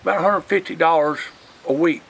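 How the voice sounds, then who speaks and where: A man's voice: fairly high pitch, steady tone, fairly quick, creaky, a bit bright, a little hiss or hum. An older man with an Alabama Southern accent, telling stories from his past in a folksy, rambling, casual way. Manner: folksy, rambling, often quoting past conversations. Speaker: storyteller, retired union organizer. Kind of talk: casual reminiscing.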